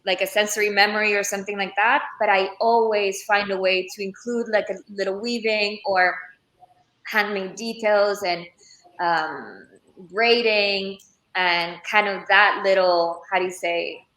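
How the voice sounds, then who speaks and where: A woman's voice: medium pitch, steady tone, unhurried, fairly bright tone, echoey room, poor audio. A young woman from Ecuador, a leather craftswoman, answering interview questions thoughtfully and often searching for the right word, as if on a video call. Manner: thoughtful, searching for words, many restarts. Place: Florence, Italy.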